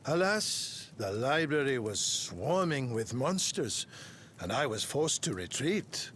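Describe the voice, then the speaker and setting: A man voicing a kindly, formal scholar of arcane arts in a video game, telling things in a measured, storytelling way. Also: mid-range voice, big pitch swings, a bit bright, a little hiss or hum. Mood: earnest, storytelling. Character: kindly, courteous, self-important male scholar of arcane arts. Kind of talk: video game character exposition.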